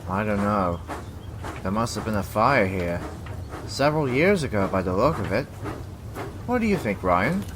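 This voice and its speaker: A man's voice: fairly low pitch, big pitch swings, moderate pace, pauses often, noisy background. A man playing a sensible, mildly scolding steam engine crewman in a children's audio drama, with a matter-of-fact way of talking. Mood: puzzled.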